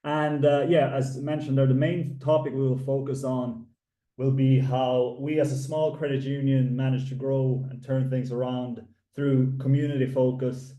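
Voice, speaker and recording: A man from Finland and Sweden giving a webinar presentation in a halting way, with frequent filler pauses. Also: mid-range voice, flat delivery, mellow. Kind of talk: slide presentation. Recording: echoey room, distant mic.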